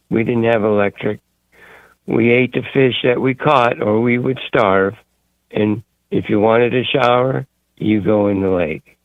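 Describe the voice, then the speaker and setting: A man calling in to a talk radio show, telling a long rambling story in a gruff way. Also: mid-range voice, no room sound, narrow, tinny audio. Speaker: caller.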